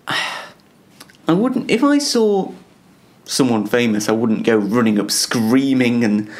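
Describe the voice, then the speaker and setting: A man chatting casually as a web show host, halting and self-deprecating as he talks. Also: mid-range voice, very animated. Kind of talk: casual self-interview chat.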